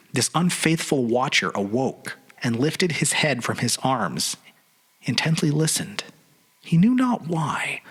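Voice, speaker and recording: A man giving a suspenseful dramatic reading of a horror story, with deliberate phrasing and pauses. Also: medium pitch, a bit bright, breathy edge. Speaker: podcast narrator.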